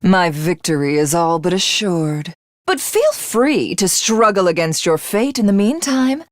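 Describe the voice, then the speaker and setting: Haughty alien villainess, widely swooping voice. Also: female voice, on the low side, animated, no room sound, studio quality. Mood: smug, menacing. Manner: imperious, grandiose, taunting, drawn-out delivery. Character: haughty, ruthless alien villainess craving godhood. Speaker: video game voice actress. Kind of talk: theatrical video game villain acting.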